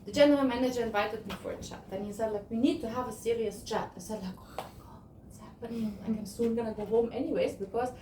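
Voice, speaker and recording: A woman in her thirties giving an animated motivational talk to an audience, acting out the conversations she tells. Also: fairly high pitch, fast, background noise. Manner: animated storytelling, acts out dialogue. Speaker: guest speaker. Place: event space, sunset gathering. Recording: echoey room, distant mic.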